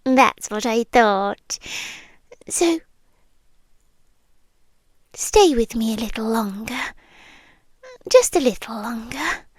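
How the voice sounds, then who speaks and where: A British woman voicing a possessive vampire girlfriend in an ASMR roleplay, gentle and coaxing with quiet menace, often slipping into whispers close to the microphone. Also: a little high, lively intonation, breathy edge, no room sound, full character voice. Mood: soothing, controlling. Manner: soft, deliberate, lingering pauses, slipping into whispers. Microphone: close microphone, dry.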